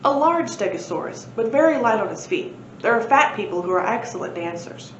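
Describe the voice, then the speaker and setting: A woman's voice: on the high side, animated, a little fast, natural voice. A woman voicing a hapless, dramatic father telling his adventure in a storybook, recorded in a roomy space. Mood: matter-of-fact. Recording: roomy, dull lo-fi, faint noise.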